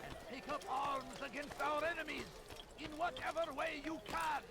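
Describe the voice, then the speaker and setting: An actor playing a fervent street crier, declaiming to rally citizens in a video game. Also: male voice, very high-pitched, fairly even intonation, moderate pace. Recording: distant crowd-scene game audio, noisy street ambience.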